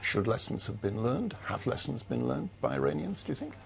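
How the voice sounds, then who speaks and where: A man's voice: medium pitch, big pitch swings, a little fast, gravelly. A man interviewing an author for a news program, asking probing, direct questions.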